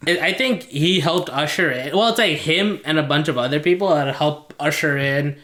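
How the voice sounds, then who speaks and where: Bright-voiced young man, echoey room. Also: fairly high pitch, moderate pace. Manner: swears freely, laughs often, stumbles and repeats words. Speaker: podcast host. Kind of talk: casual chat.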